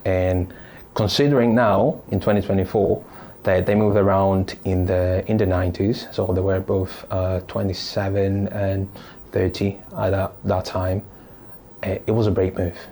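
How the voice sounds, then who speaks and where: Deep, mellow-voiced man from Italy. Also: flat delivery, a little hiss or hum. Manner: thoughtful, halting, many restarts and fillers. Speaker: podcast guest. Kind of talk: casual interview storytelling.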